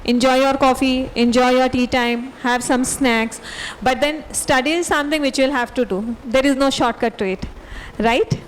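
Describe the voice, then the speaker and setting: A woman's voice: sits high, steady tone, normal speed, a little hiss or hum, poor audio. A woman, a principal on a panel, giving students direct, motherly advice. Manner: motherly, direct, addresses students as dear children.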